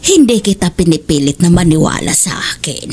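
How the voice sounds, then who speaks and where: A woman's voice: on the low side, big pitch swings, normal speed, a little mellow, breathy edge. A woman playing a superstitious, vengeful older Filipino mother in a horror audio drama, speaking in a dramatic, insistent way. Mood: stubborn conviction.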